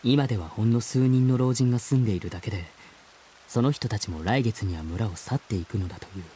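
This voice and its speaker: An actor playing an earnest, wistful man who narrates his boyhood memories in a measured, reflective way, as in a radio drama. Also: fairly low pitch, mellow, a little hiss or hum, lightly acted. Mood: wistful, subdued. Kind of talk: scripted first-person radio drama narration.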